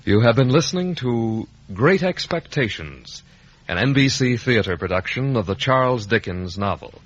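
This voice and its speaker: A man with an American accent making a formal, measured announcement as a 1950s radio announcer. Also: medium pitch, fairly bright tone, breathy edge. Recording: muffled vintage 1950s radio recording.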